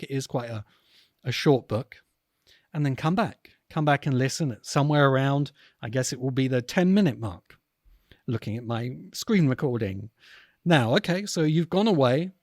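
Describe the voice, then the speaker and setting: A man hosting a podcast, giving reflective commentary in deliberate, emphatic phrases with pauses. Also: mid-range voice, no room sound, studio quality. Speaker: podcast host. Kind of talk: reflective commentary on books and film.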